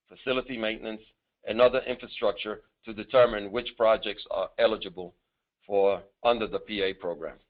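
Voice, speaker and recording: A man giving a formal, mostly scripted presentation as a government official on a conference call, reading deliberately in short, halting phrases. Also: mid-range voice. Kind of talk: formal scripted presentation with some improvised asides. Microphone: telephone. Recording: narrow tinny phone conference line.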